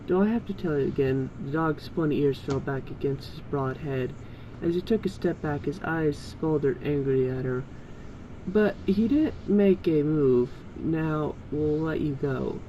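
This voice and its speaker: Casting: amateur fan-fiction reader, mid-pitched voice of unclear gender, heavy background noise. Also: muffled recording.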